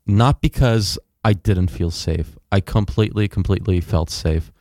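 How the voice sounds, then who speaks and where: A man's voice: on the low side, normal speed, mellow, no room sound, studio quality. A young man telling a travel story as a guest in a casual interview, rambling with fillers and restarts. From United States.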